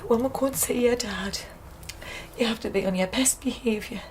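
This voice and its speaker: A woman's voice: fairly high pitch, normal speed, a crowd in the background, natural voice. A woman playing a weary, overwrought working-class mother in a radio drama, pleading and tender, with a North East English accent. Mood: tender, tearful. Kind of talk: naturalistic 1990s radio drama acting.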